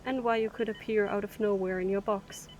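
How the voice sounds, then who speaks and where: An actress as a frank, rueful cargo captain. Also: female voice, medium pitch, steady tone, brisk, a little mellow, slight background noise, lightly acted, rough recording. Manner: frank, matter-of-fact, rueful. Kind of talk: audio drama acting.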